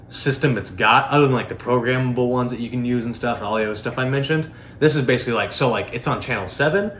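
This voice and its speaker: A man's voice: mid-range voice, a bit bright. A man giving a casual, unscripted product demo, rambling informally and peppering his talk with filler words. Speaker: product reviewer. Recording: roomy echo, faint background noise.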